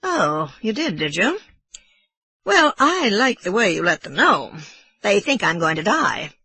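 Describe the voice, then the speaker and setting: A suspicious, irritable middle-aged man, as a woman reader puts him on, lightly voiced in a husky, dry tone. He questions sharply, and his pitch swings widely when he presses. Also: very animated, measured pace, no room sound, exaggerated voice. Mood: probing. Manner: sharp, interrogating.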